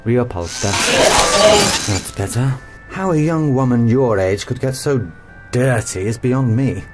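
A man's voice: mid-range voice, a little slow, a little mellow, soft background music, lightly acted. An actor playing a haughty, condescending company chairman in an audio drama, with clipped, pompous delivery. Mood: sneering, smug. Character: haughty, rude, rich robotics company chairman.

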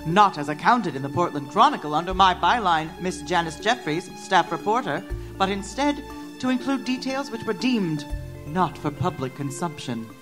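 Woman acting as lady newspaper reporter, lowish pitch, formal, grimly wry, gothic steampunk audio drama, clear music bed. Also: expressive, moderate pace, natural voice. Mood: grave, wry. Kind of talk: scripted dramatic narration.

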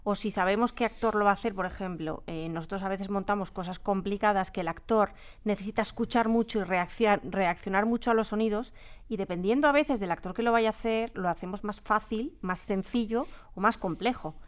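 Clear-voiced Spanish woman explaining her craft. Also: mid-range voice, fairly quick. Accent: Spain. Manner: explanatory, emphatic, repeats words as she searches for phrasing. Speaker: audio drama producer and sound designer, interview guest. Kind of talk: casual interview talk. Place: radio drama studio.

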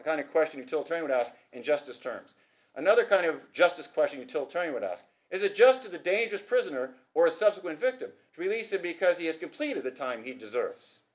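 A middle-aged man giving an academic conference talk at a podium, brisk and gesturing, posing rhetorical questions. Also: on the high side. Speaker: conference speaker. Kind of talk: academic presentation. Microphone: podium microphone.

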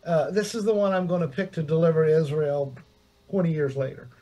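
Man with a higher, reflective voice. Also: steady tone, a little fast, mellow, poor audio. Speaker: discussion panelist. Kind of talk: casual Bible study discussion.

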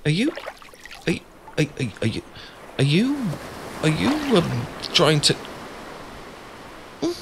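A man's voice: on the high side, huge pitch swings, slow, long pauses, exaggerated voice. A man with a British accent playing a shy, adorkable, flustered young man in love, stammering and hesitant in an ASMR boyfriend roleplay. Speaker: audio roleplay performer. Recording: clear background ambience noise.

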